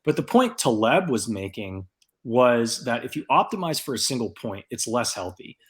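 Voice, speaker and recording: A man hosting a podcast in a relaxed, rambling way. He thinks out loud, drops in plenty of ums and likes, and keeps an easygoing tone. His voice sits at an ordinary middle pitch. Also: normal speed. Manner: thinks aloud with fillers, relaxed and reflective. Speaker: podcast host. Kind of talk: casual conversational podcast.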